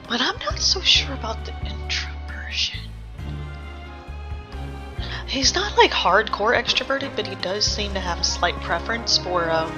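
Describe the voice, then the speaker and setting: A woman giving casual, analytical game reaction commentary, hesitant and thinking out loud. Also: mid-range voice. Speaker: reaction video host.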